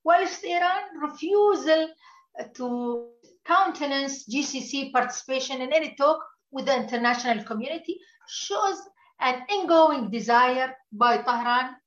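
A woman analyst giving a measured, formal answer in a remote interview. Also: sits high, lively intonation, a bit bright, echoey room, dull audio. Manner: measured, formal policy talk. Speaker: political analyst.

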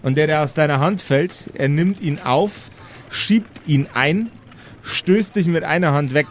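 A man's voice: fairly high pitch, unhurried, a little hiss or hum. A man improvising narration as the game master of a tabletop role-playing game.

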